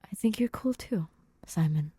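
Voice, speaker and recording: A warm-hearted, awkward male teacher in middle age as a woman reads him, easily flustered. He repeats his words, and the recording is dry and close. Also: lowish voice, animated, moderate pace, slightly rough, no room sound. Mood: sincere.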